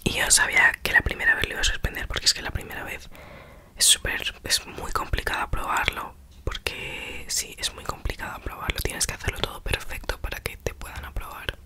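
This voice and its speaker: A woman's voice: fairly quick, sharp tone. Whispering young woman telling a story. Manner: chatty, self-mocking, acts out quoted exchanges.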